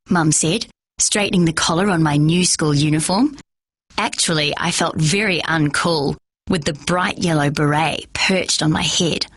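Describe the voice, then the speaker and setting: A woman with a husky voice reading a children's novel aloud in steady first-person narration. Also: low-pitched, dry recording. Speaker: storyteller.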